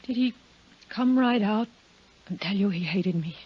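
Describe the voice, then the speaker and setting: A woman's voice: medium pitch, measured pace, breathy edge. A woman acting a genteel, fragile, anguished wife in a 1950s radio drama. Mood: shocked, hurt. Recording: muffled 1950s radio recording.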